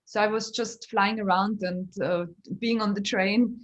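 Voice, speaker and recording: Woman from Austria, German first language, comedian guest, lively storytelling, laughs often. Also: mid-range voice, a little slow, lo-fi sound. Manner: chatty, laughs often while telling stories. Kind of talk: casual interview chat.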